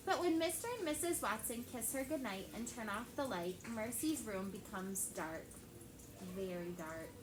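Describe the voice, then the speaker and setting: A young woman teaching a class of children, reading a story aloud and asking the kids lots of questions in a chatty way. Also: fairly high pitch. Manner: chatty, asks the kids many questions, heavy use of "like". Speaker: elementary school teacher. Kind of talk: classroom read-aloud lesson. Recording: echoey classroom with background noise.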